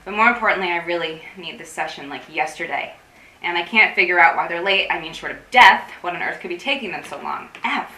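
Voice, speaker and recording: An actress in a staged reading playing an anxious, wry, guarded support group organiser, rambling and awkwardly correcting herself. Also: female voice, fairly low pitch, expressive, brisk, sharp tone. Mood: anxious, flustered. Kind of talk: staged play reading, naturalistic dialogue. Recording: roomy, distant mic with faint noise.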